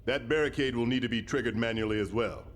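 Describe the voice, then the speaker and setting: A man playing a stern, commanding general in a video game, speaking with measured authority. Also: medium pitch, a little hiss or hum, close to an everyday voice. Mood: stern, businesslike. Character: stern, commanding male army general briefing troops. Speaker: military commander. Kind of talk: video game cutscene acting.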